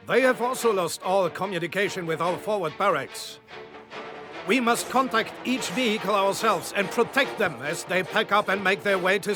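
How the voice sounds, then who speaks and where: Man acting high-pitched commanding wartime German officer. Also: close to an everyday voice. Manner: commanding, military briefing delivery. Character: urgent German Afrika Korps officer briefing troops. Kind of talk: scripted game mission briefing.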